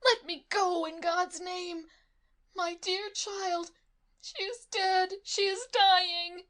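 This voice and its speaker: Frantic high-pitched old lady. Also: fairly even intonation, slow pace, fairly bright tone, full character voice. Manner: pleading, high and trembling.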